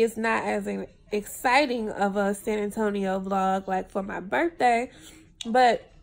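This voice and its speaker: A woman's voice: mid-range voice, gravelly. A young woman vlogging casually to her phone from a hotel bed, congested and unwell, talking in a tired, laid-back way. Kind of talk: casual vlog to camera. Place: hotel room, lying in bed. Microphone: phone held at arm's length.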